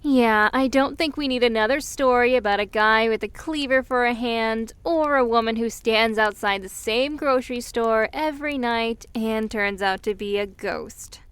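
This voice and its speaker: A woman's voice: a little high, moderate pace, hardly any pauses, a bit bright, smooth, no echo, lightly acted. A woman playing a sensible, caring young friend in an audio roleplay, teasing the others in a dry, wry manner. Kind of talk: interactive audio roleplay acting.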